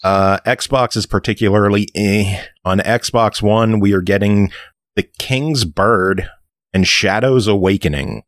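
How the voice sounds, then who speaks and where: A man hosting a gaming podcast in casual banter, upbeat and quick to laugh. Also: lowish voice, fairly even intonation, no echo, clean studio sound. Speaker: podcast host.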